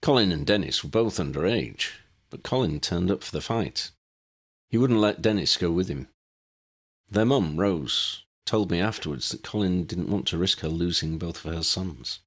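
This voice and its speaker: A man voicing an earnest grown man in an audiobook, telling his story in a halting, reflective way. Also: sits low, expressive. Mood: sombre, reflective. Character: earnest grown man recalling a lost school friend.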